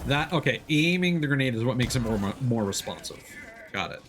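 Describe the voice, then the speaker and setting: A man's voice: medium pitch, lively intonation, fairly quick, fairly bright tone. A man giving casual gameplay commentary on a stream, thinking aloud in a hesitant way as he plays. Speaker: livestreamer, gamer. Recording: clear game noise behind. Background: video game sounds.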